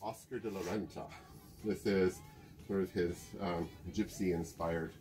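A man curator chatting casually about clothes on a rack, often pausing to search for names, recorded by a camera in a museum basement storage room. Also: sits low, fairly even intonation, darkish tone, soft background music, poor audio. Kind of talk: casual show-and-tell chat.

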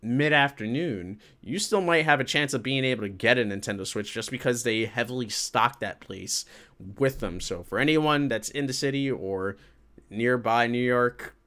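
A man, hosting a livestream and chatting casually with viewers in an animated, colloquial way. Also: mid-range voice, normal speed. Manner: animated, colloquial, reacting to chat. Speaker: livestream host. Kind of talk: casual chat with live viewers. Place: indoor room with green screen.

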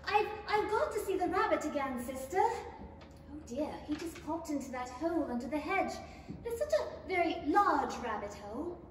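A woman playing a curious, polite, excitable young girl in a 1930s-style radio play. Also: high-pitched, normal speed. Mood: excited, curious. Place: theatre stage. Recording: very echoey theatre stage from far off, faint noise.

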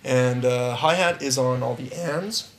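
Man, 30s, drum instructor, hoarse from losing his voice, upbeat counting, faint noise. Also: medium pitch, a little slow. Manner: upbeat, explains while counting rhythms aloud. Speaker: drum teacher. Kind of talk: live online drum lesson. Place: studio stage with drum kit.

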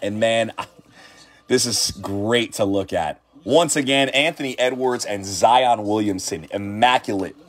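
A man hosting a card reveal from his shop, talking with excited hype and repeating his praise. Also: lowish voice, unhurried. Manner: hyped, repeats himself. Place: shop desk indoors.

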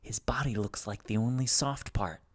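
A man voicing a wary, jungle-hardened mother who speaks in hushed, sharp tones. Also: lowish voice, normal speed, husky.

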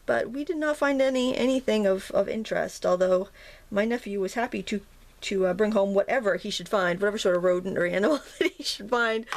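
A woman talks through a watercolor lesson while she paints at a table, her voice a little hoarse and gravelly with vocal fry. She chats casually, restarting sentences and filling gaps with ums as she works. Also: mid-range voice, brisk. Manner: chatty, self-interrupting, frequent ums and restarts. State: slightly hoarse, morning froggy throat. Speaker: watercolor teacher. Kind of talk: casual painting tutorial narration.

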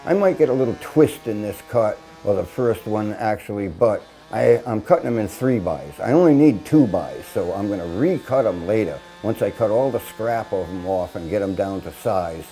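A man's voice: lowish voice, lively intonation, normal speed, hardly any pauses, warm tone, faint music behind. Plainspoken older man teaching outdoors. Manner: plainspoken, practical, folksy asides. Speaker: shipwright demonstrating chainsaw work. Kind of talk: hands-on instructional explanation. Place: outdoors in a grassy yard by a mill.

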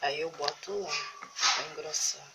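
A woman's voice: sits low, fairly even intonation, slow pace, gravelly, very noisy. A Brazilian woman with a cold, talking homely and casual as she films her cooking and groceries in a home kitchen on a phone, repeating endearments to her viewers. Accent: Brazilian Portuguese. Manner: homely, repeats "meus amores" and "tá" constantly. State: has a cold, congested voice. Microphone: phone camera held over food, speaker off screen.

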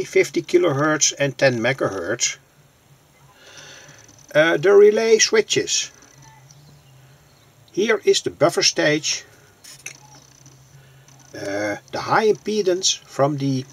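A man giving an unscripted hands-on demonstration as a hobbyist presenter, explaining things in a halting, deliberate way. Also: mid-range voice, vocal fry, slightly rough.

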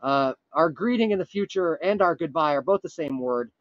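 Man playing a frantic high-pitched time traveler. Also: animated, no echo, highs cut off, natural voice. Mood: solemn pleading. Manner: urgent, pleading, halting with dramatic pauses. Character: frantic, earnest male time traveler from the far future. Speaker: prank caller. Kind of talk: improvised comic prank phone call.

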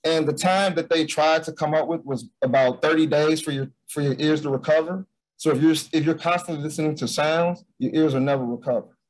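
A man's voice: fairly high pitch, steady tone, fairly quick, rough recording. Hesitant man presenting a student project. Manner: loose and hesitant, with many fillers and restarts. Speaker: bootcamp student presenting a capstone. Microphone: video call.

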